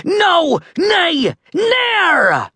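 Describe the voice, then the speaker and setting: A woman's voice: lowish voice, very animated, very slow, gravelly, fairly bright tone, husky, dry recording, voice pushed up, heavily acted. A woman voicing a blustering, bossy boy pirate captain from a children's storybook, bellowing theatrically in a stage pirate accent. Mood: outraged.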